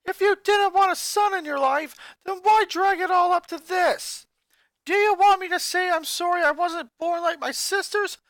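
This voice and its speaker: Narrator doing a young boy yelling, raw and cracking, high and bright. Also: no room sound. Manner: shouting, raw and breaking with rage. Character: hurt, angry eleven-year-old boy.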